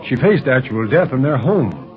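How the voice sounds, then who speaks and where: An actor playing a steady adult secret agent who leads the team, sounding calm and assured, in a 1930s radio serial. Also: male voice, medium pitch, moderate pace, close to an everyday voice. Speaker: hero's uncle and agent. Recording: muffled vintage radio recording.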